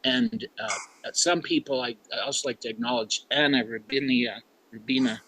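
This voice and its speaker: A man's voice: medium pitch, steady tone, pushed and bright, slight background noise, poor audio. A man from Canada giving a grammarian's report at a club meeting, thinking aloud with many fillers. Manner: slow and musing, with frequent fillers.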